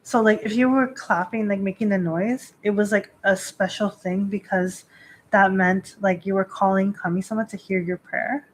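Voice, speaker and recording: A woman chats on a live stream at a middle pitch, thinking aloud and circling back on herself. She fills nearly every pause with "like" and breaks into small laughs as she goes. A faint hiss sits under her voice. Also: fairly even intonation, poor audio. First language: not Japanese. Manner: rambling, lots of "like" fillers, laughs often. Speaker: Shinto priestess hosting a live stream. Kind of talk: casual live-stream chat.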